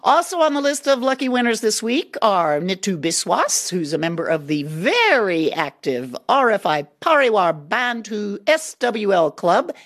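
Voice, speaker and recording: A woman hosting a scripted radio programme in a chatty, expressive way. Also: mid-range voice, moderate pace, few pauses. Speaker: radio show host. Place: radio studio.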